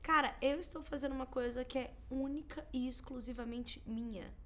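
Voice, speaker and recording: A Brazilian woman in her thirties chats casually as a podcast co-host. Her voice sits a little high, and her storytelling is animated, with quoted lines acted out. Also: a little fast. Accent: Brazilian Portuguese. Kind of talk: casual chat.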